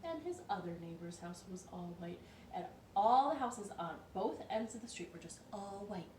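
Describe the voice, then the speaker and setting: A woman hosting a children's storytime from her living room, telling the story in a playful, expressive and emphatic way. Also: medium pitch, normal speed. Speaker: storytime host. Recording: roomy echo, distant mic, light noise.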